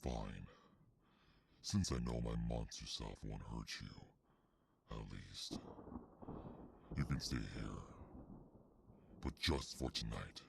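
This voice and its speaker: A man playing an anguished, bitter, centuries-old cursed beast in a dramatic ASMR roleplay, with a gravelly, husky growl and a slow, brooding, halting delivery. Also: a bass voice, slight background noise, full character voice. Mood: pained, wondering, then resigned, stern.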